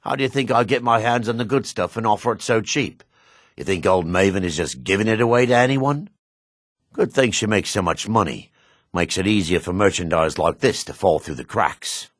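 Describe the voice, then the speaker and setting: An actor playing a shifty, greedy dark elf merchant and foreman in a fantasy video game, brusque and sly, with a raspy voice. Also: on the low side, moderate pace, no room sound, clean studio sound, close to an everyday voice. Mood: smug, confiding.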